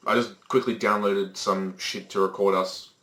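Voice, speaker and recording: Rambling teenage guy, lowish voice. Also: fairly even intonation, moderate pace, fairly bright tone. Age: teens. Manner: swears freely, rambling with many fillers and restarts. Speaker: podcast co-host. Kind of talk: casual chat. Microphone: Skype call recording.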